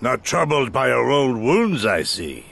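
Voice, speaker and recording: Casting: seasoned stoic warrior for a game, gravelly, expressive range, formal and quietly fond. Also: male voice, medium pitch, unhurried, heavily acted. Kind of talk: scripted video game drama acting.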